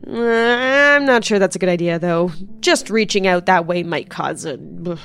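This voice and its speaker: An actress playing a blunt, skeptical technical expert in a naturalistic audio drama. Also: female voice, lowish voice, expressive, moderate pace, gravelly, a bit bright, clear, resonant tone, soft background music. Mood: hesitant.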